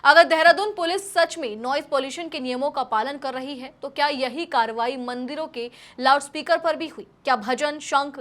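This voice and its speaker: A woman's voice: high-pitched, fairly bright tone. A woman news presenter delivering scripted commentary in an emphatic, rhetorical style.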